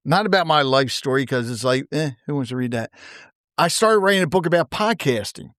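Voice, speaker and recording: A man's voice: a little high, expressive, brisk, fairly bright tone, no room sound, studio quality. A man hosting a podcast, upbeat and laughing often, first reading a scripted introduction and then chatting casually. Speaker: podcast host.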